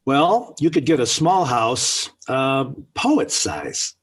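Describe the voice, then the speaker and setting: A man's voice: fairly high pitch, big pitch swings, slow pace, husky, exaggerated voice. An actor playing a smug, scheming middle-aged American father in 1940s radio sitcom style, with pompous, emphatic speechifying. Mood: needling. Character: smug scheming family father, blustery and theatrical. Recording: old 1940s radio recording.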